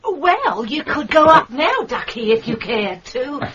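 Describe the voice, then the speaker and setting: A woman playing a chummy English boarding-house landlady in a radio drama, brisk, familiar and no-nonsense. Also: high-pitched, sing-song, full character voice. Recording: dull, noisy radio drama recording.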